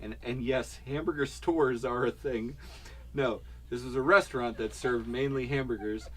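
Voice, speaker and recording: A man's voice: medium pitch, steady tone, moderate pace, a bit bright. A man co-hosting a casual homestead livestream, rambling playfully and laughing as he talks. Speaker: livestream co-host.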